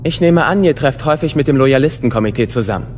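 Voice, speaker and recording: A man's voice: medium pitch, animated, quick pace, a little mellow, lightly acted. A man plays an earnest young knight in a radio drama, respectful in address. His voice rings with long reverb over music. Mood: earnest, deferential. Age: young adult. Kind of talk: German radio drama acting.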